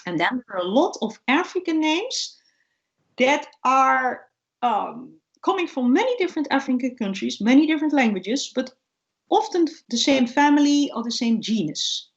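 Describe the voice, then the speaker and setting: High-voiced woman on a video call. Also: sing-song, moderate pace, vocal fry, lo-fi sound. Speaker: guest ethnobotanist. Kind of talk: informal research interview, storytelling.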